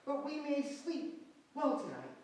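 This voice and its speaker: A man playing a gentle, hesitant debtor husband who speaks stumblingly and reassuringly in a theatrical stage reading. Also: very high-pitched, big pitch swings, unhurried, slight background noise, heavily acted. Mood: hesitant, relieved. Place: small hall stage with audience, far camera. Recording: very echoey hall, distant microphone.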